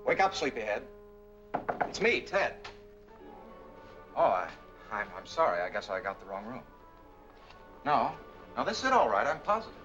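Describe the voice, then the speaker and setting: An actor playing a hot-headed, blunt young American bridegroom in a 1940s film noir, on an old soundtrack. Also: male voice, mid-range voice, sing-song, moderate pace, long pauses, fairly bright tone, highs cut off, soft background music. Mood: earnest, polite. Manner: insistent, blunt. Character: hot-headed young bridegroom searching for his missing fiancée.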